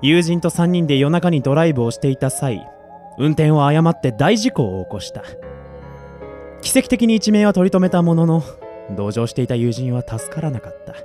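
Guy acting polite cold company collector in a radio drama, slightly high voice, very lively intonation, music behind. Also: normal speed, lightly acted. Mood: polite, matter-of-fact. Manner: businesslike, polite, insistent. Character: coolly polite male collection agent from an android company. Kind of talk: Japanese audio drama acting.

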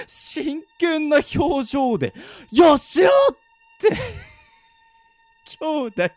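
A man's voice: very high voice, huge pitch swings, extremely slow, pauses often, a little mellow, dry recording, shouting, faint music behind, heavily acted. A man playing a gleeful, sadistic killer in an audio drama, with a taunting, giggly, sing-song drawl. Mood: mocking glee. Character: gleeful sadistic male killer, mocking and creepy. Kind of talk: anime-like audio drama acting.

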